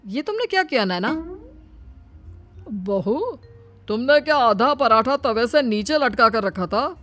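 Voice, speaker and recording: A woman's voice: a little high, animated, unhurried, a bit bright, soft background music. A woman voicing a fussy, grasping middle-aged father-in-law who questions everything, in a cartoon moral story. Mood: puzzled.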